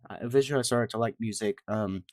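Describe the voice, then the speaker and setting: A man's voice: lowish voice, quick pace, darkish tone, slight background noise. A man from Georgia, co-hosting a podcast and asking questions in casual chat. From Georgia, United States.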